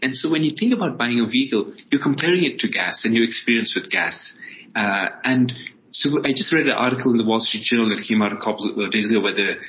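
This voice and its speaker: A man's voice: mid-range voice, lively intonation, fast, fairly bright tone, slight background noise, poor audio. A man from Ethiopia, a startup founder, explaining things conversationally as an interview guest.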